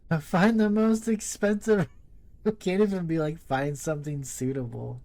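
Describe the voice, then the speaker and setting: A man's voice: fairly high pitch, steady tone, normal speed, a little mellow. A man giving casual playthrough commentary as a gaming host, in wry, muttered asides. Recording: faint noise, game audio behind.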